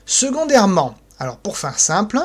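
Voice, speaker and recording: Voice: mid-range voice, sing-song, moderate pace. Explaining phone setup step by step, lots of alors and euh, says poc when tapping. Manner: hesitant, full of "alors" and "euh", mouths click sounds like "poc". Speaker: tutorial presenter for an association.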